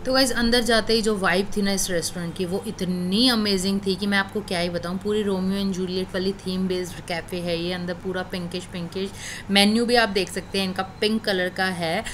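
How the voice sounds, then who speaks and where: Woman reviewer, mid pitch, chatty and enthusiastic voice-over narration. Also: fairly even intonation, brisk, faint music behind. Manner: enthusiastic, conversational, addresses viewers as guys. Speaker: food reviewer.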